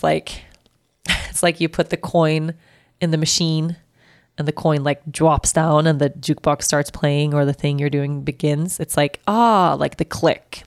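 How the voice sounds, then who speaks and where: A woman in her thirties from Sweden with a Swedish accent, hosting and talking candidly and reflectively, halting and restarting as she thinks. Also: sits low, gravelly, no echo, clean studio sound. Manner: candid, halting, self-reflective with frequent restarts and soft laughs. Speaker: podcast host. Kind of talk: personal reflective monologue.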